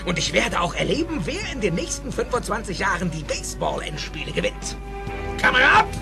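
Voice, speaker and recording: Nutty old scientist, high excitable voice all over the place, dramatic, loud music behind. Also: male voice, brisk, vocal fry, heavily acted. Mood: grand, flustered. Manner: theatrical, exclamatory. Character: eccentric, excitable older male scientist and inventor. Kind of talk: German dubbed film audio drama.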